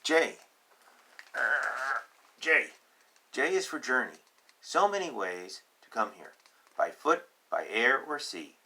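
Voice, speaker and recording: A man reading a picture book aloud to children, deliberate and emphatic in short phrases, with chatty, playful asides. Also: medium pitch, sing-song, bright, forceful tone, slight background noise.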